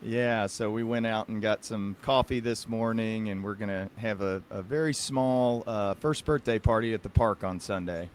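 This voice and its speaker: A man's voice: fairly low pitch, normal speed, hardly any pauses, slight background noise. An American man hosting a podcast in a casual, conversational way. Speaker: podcast host, psychiatric practitioner.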